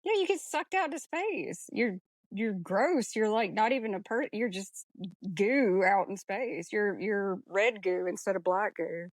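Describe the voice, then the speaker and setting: A woman's voice: mid-range voice, measured pace, no echo. A woman co-hosting a podcast, discussing a film casually in a blunt, slangy, informal way. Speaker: podcast co-host.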